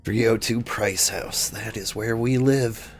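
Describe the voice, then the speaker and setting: Gravelly low-voiced man streaming a game. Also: takes it slowly, a little hiss or hum. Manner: rambling, relaxed, thinking aloud with restarts. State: hoarse from being unwell. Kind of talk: casual gameplay commentary.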